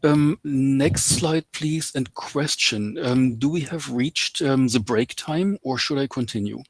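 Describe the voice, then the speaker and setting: A man lectures in English that is not his first language, pausing often with ums and uhs. His voice is slightly husky and mid-pitched. The sound is dry and close, like a call mic. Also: no room sound. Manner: hesitant, many ums and uhs. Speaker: guest lecturer. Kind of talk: open lecture over video call. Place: home in Canada.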